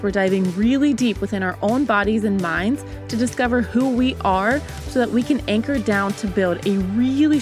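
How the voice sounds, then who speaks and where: A woman in her twenties, raised in America after a childhood in Bulgaria, hosting her own podcast in an upbeat, chatty way and calling the listener babe. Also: mid-range voice. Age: 20s. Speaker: podcast host. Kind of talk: casual solo podcast talk.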